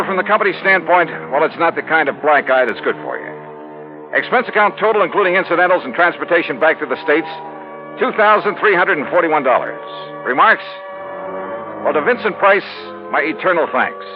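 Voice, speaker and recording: Wry hard-boiled investigator played by a man, confident and brisk, expressive mid-range voice, muffled vintage radio with faint music. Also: on the high side, lightly acted. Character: wry, tough, confident American male insurance investigator. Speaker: lead actor and narrator. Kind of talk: 1950s radio detective drama. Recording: dull old radio broadcast.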